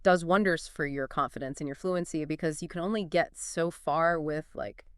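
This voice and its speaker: A woman's voice: deep voice, fairly even intonation, moderate pace, clean tone, no echo, clean studio sound. A woman co-hosting a podcast in casual chat, talking conversationally with lots of fillers and eager reactions. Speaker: podcast co-host.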